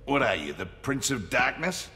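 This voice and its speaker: A man's voice: medium pitch, sing-song, normal speed, gravelly, exaggerated voice. A man voicing a cocky, greedy cyborg mercenary with an Australian accent, taunting in slang for a video game. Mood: mocking. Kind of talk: video game fight banter. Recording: long reverb over loud music.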